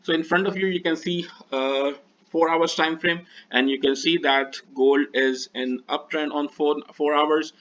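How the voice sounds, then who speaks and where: A man's voice: fairly high pitch, fairly even intonation, unhurried, a bit bright. A man whose first language is Urdu, walking through trading charts in a hesitant manner with frequent fillers. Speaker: trading analyst. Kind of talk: screen-share chart walkthrough. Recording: dull lo-fi voice recording.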